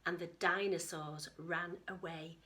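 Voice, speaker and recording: A woman teacher giving an expressive storytime read-aloud for young children, with chatty asides to her listeners. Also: on the low side, a little slow, very noisy.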